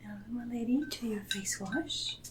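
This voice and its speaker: A woman playing a devoted, deferential Regency lady's maid in a soft-spoken, often whispered ASMR roleplay, with formal, gentle speech. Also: on the high side, measured pace, background noise, natural voice. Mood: attentive, soothing.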